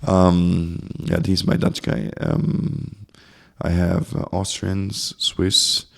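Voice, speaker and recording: A man's voice: low-pitched, little pitch movement, drawn-out words, creaky. A German man speaking English with a German accent, as a guest in a casual interview, rambling and searching for words. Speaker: guest, community founder.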